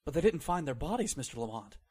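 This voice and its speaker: A man's voice: on the high side, big pitch swings, fast, darkish tone, husky. A man playing an anxious, inquisitive fellow in an old-time radio drama. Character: anxious, inquisitive man pressing for news, Benson.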